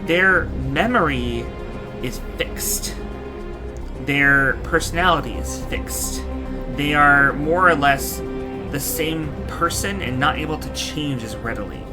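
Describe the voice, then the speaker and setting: A man giving casual, rambling let's play commentary, halting and repeating himself as he searches for words. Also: a little high. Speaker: game streamer. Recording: music behind the voice. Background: game music.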